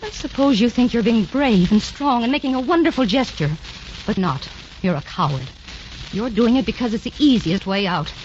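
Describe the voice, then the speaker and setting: An actress playing a proud, demanding young socialite fiancée, reproachful and dramatic in 1940s radio drama style. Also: female voice, a little high, lively intonation, normal speed, darkish tone, close to an everyday voice. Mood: hurt, scornful. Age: young adult. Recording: dull, faintly noisy 1940s radio recording.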